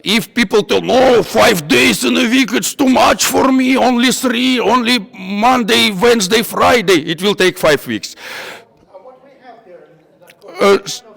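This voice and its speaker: A man with a non-native English accent giving an informal technical workshop, halting and repeating himself as he searches for words. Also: very high voice, gravelly, fairly bright tone. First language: not English. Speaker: workshop instructor. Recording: echoey hall with long reverb.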